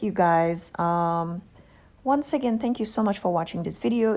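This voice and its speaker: A woman with a Haitian accent hosting a casual vlog, giving gushing shout-outs with drawn-out emphasis and soft whispery asides. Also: mid-range voice. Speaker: beauty channel host.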